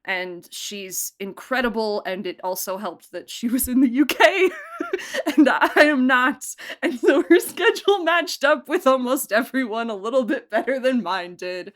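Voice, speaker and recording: A woman who produced and directed an audio drama, talking casually in an interview, chatty and animated, laughing often. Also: fairly high pitch, a little slow.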